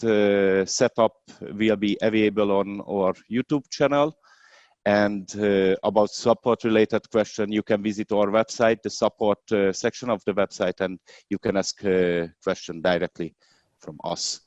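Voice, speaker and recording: A man's voice: on the low side, darkish tone, no room sound. Non-native English man, dull dry recording. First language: not English. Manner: halting, step-by-step explanation. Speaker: product demonstrator. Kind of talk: hands-on product demonstration in a webinar. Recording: dull, dry webinar video sound.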